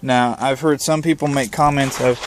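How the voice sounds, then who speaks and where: A man's voice: mid-range voice, fairly even intonation, normal speed, slight background noise. A man giving a casual, unscripted hands-on installation demonstration, explaining step by step in plain, colloquial words. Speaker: presenter of a hands-on installation guide.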